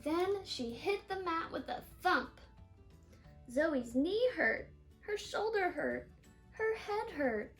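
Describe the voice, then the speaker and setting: High-pitched woman reading a children's story. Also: slow, faint music behind. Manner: animated storytelling, stressing and stretching key words. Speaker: storybook reader. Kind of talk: expressive read-aloud of a children's picture book.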